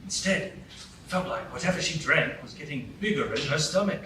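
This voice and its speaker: A man's voice: fairly high pitch, animated, brisk, sharp tone. A man narrating a fairy tale in a live radio play, in a wry storytelling way. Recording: very echoey room, mic far off, background noise.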